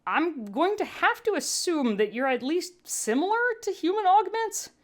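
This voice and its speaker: A woman voicing a gruff, weary human medic with a blunt, emphatic, argumentative way of talking, in a story reading. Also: extremely high pitch, sing-song, moderate pace, voice pushed up. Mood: weary, resolved.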